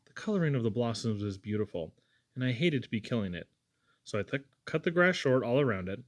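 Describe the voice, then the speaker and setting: A man's voice: mid-range voice, sing-song, fairly quick, close to an everyday voice. A man voicing an earnest young Irish lad who tells of things in a folksy, wonder-struck, wordy way.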